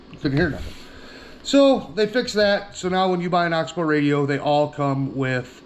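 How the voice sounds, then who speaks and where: A man's voice: mid-range voice, a little hiss or hum. A man giving a casual hands-on product review in a plain-spoken, folksy way.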